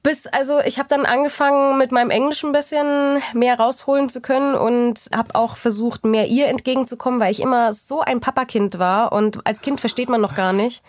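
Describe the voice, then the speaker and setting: A woman's voice: a little high, fairly even intonation, fairly quick, hardly any pauses, no echo, studio quality. A young adult woman speaking as an interview guest, reflective and hesitant, often restarting her sentences. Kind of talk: candid personal conversation.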